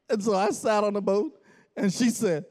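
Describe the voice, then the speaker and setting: A man in his forties from the Bahamas preaches in a church, swinging from chatty asides to sudden shouts as he tells a story. His voice sits high for a man, and he jokes easily with the congregation. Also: expressive, unhurried. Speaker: pastor. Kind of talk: storytelling sermon. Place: church sanctuary.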